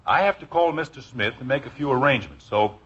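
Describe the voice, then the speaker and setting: A man playing a gentle, indulgent middle-aged father in a 1950s radio sitcom, fond and wryly hesitant, on a dull old recording. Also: medium pitch, expressive, fast, noisy background, close to an everyday voice. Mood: wry, conspiratorial.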